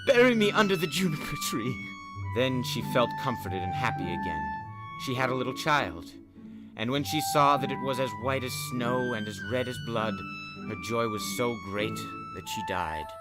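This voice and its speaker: A man's voice: medium pitch, moderate pace, low-quality recording. A man hosting a spooky story podcast, narrating in a dramatic storyteller's style. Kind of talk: dramatic fairy-tale narration with casual commentary. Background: music.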